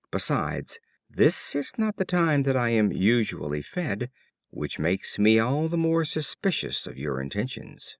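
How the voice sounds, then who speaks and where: A stern, loyal storybook house dog, voiced by a male reader, speaks with deliberate formality. The voice is lowish and moves expressively, full of suspicion, in a dry close recording. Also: dry recording. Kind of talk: animal fable storytelling.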